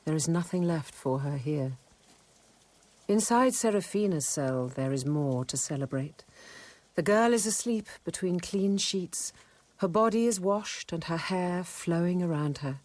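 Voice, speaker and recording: An actress playing a sober, observant nun who narrates a radio drama in a measured, contemplative way. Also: female voice, on the low side, lively intonation, a little mellow, no room sound. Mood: grave, contemplative.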